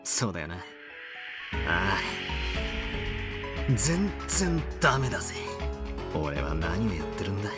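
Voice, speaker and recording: A man playing a brash, defiant young hero in an anime-style visual novel, speaking in rough, cocky phrases. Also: deep voice, sing-song, brisk, pauses often, over music. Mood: frustrated. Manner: rough, cocky masculine speech.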